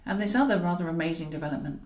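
A woman reading a comic romantic novel aloud in an expressive storytelling style, as the author of the book. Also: on the low side, racing, reverberant. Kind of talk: expressive audiobook narration of comic romantic fiction.

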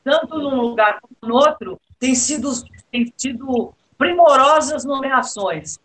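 A woman's voice: high-pitched, animated, measured pace, fairly bright tone, rough recording. A woman with a Brazilian accent speaking as a panelist in a political discussion, with an ironic way of talking.

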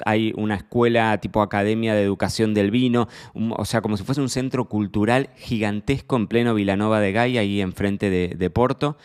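A man's voice: lowish voice, a little mellow. A middle-aged man with an Argentine Rioplatense accent, hosting his own podcast in a chatty, self-deprecating monologue full of fillers and tag questions. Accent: Argentine Rioplatense Spanish. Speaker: podcast host. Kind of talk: casual solo podcast monologue.

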